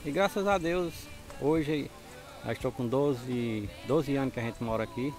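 A man's voice: mid-range voice, unhurried. A middle-aged man from Ceará with a northeastern Brazilian accent, speaking plainly as a farmer in a casual outdoor interview. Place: outdoors on a farm. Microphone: handheld mic with furry windscreen. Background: music.

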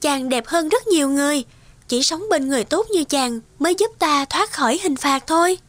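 A woman playing a gentle, devoted young fairy wife in a cartoon folktale, speaking earnestly. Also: high voice, animated, normal speed, no echo. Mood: earnest, tender. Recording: music behind the voice.

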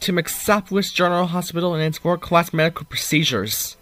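A man voicing a nostalgic, excitable young cartoon creature who narrates his town in a theatrical way for a fan dub. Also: on the high side, brisk, faint music behind, natural voice. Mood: nostalgic, proud.

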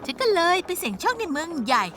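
Very high-pitched, spirited cartoon pony girl. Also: sing-song, fast. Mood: nostalgic. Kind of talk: animated cartoon dubbing. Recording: music behind the voice. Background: background music.

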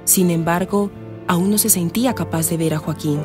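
Lady narrating a radio soap, lowish voice, music playing behind. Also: moderate pace. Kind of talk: scripted radio drama narration.

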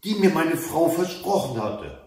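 A man's voice: fairly high pitch, very animated, unhurried, darkish tone, recorded from a distance. A man voicing an earnest, grieving king full of wonder in a storybook reading. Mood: joyful wonder. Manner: earnest, exclamatory. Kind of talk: storybook read-aloud. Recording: very echoey room.

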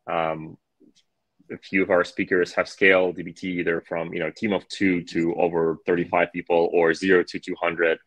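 A man with a very low, deep voice moderates a panel over a video call. He speaks haltingly, with many filler sounds and self-restarts. The sound is dry and close, with no room in it. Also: a bit bright, no room sound, low-quality recording.

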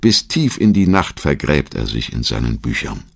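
Very deep-voiced male documentary narrator. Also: very animated, gravelly, breathy edge, no echo, studio quality. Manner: measured, storytelling delivery.

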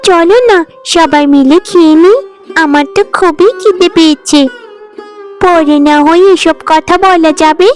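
A woman as a high-voiced, earnest cartoon girl. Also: a little mellow, lightly acted. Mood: affectionate. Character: young daughters of a poor maid, affectionate and earnest. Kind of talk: animated Bengali folk-tale cartoon acting.

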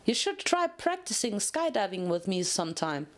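A woman voicing a cheerful, carefree young female pony in a story reading, chirpy and excitable. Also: mid-range voice, moderate pace, lightly acted. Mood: excited. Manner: sing-song, chirpy, excitable.

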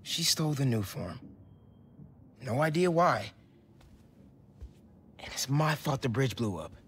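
Husky teen son in a game, teasing, bit self-doubting. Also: male voice, medium pitch, big pitch swings, measured pace, heavily acted. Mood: downcast. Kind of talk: naturalistic video game acting.

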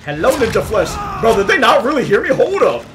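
A hoarse young man giving loud, profane commentary over his own gameplay, muttering one moment and yelling the next. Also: very high voice, sing-song, brisk, background noise. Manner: swings from muttering to yelling, swears a lot. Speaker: gaming YouTuber. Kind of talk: excited live gameplay commentary.

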